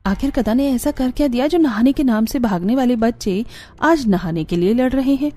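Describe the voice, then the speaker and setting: A woman's voice: on the high side, normal speed, warm tone. A woman reading a scripted moral story as its narrator. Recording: music behind the voice. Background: background music.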